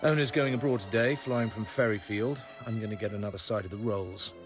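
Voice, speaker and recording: An actor playing a cool, wry British secret agent in a radio drama, clipped, dry and understated. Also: male voice, on the low side, fairly quick, lightly acted. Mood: clipped, dutiful. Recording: loud music behind.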